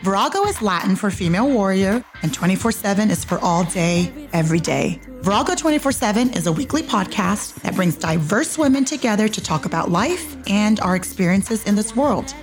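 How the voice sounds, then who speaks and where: A woman's voice: medium pitch, lively intonation, a little fast, few pauses, faint music behind. A woman born in Puerto Rico hosting a solo podcast, chatting in a rambling way with many ums and restarts. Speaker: podcast host. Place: home in Georgia, USA.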